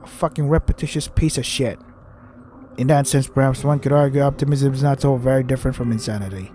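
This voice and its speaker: A man's voice: medium pitch, fairly even intonation, quick pace, dark tone, a little hiss or hum. A man reading a visual novel aloud as a gaming host, crude and irritated, stumbling over his words as he rants.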